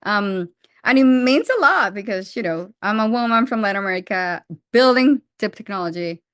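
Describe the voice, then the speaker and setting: A woman's voice: mid-range voice, moderate pace, no echo. Colombian lady in her 40s, founder talking startups, lots of restarts, dry close sound. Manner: animated, frequent restarts and fillers. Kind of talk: interview answers.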